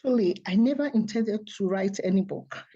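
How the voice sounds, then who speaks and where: An earnest, soft-spoken woman tells her story as an interview guest and often laughs softly. Her tone is warm and mellow. She comes through a dull, lo-fi remote line. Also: medium pitch, a little fast. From Nigeria.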